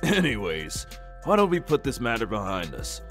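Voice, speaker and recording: A man's voice: mid-range voice, huge pitch swings, moderate pace, fairly bright tone, faint music behind. A man voicing a kindly, sheepish middle-aged hero teacher in an amateur anime comic dub, polite and placating.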